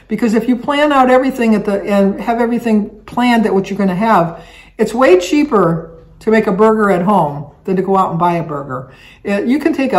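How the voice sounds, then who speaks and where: Older lady chatting to her camera at home, kinda rambly, lots of restarts. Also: mid-range voice. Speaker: vlogger. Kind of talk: casual chat. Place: living room at home, indoors. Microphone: camera on a table, seated facing it.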